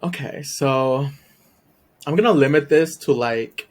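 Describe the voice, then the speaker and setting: A man's voice: mid-range voice, unhurried, darkish tone. A man from Puerto Rico whose first language is Spanish, chatting as a podcast guest in a shy, hesitant way with many ums and small laughs. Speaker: guest, YouTube reactor. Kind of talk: casual chat interview.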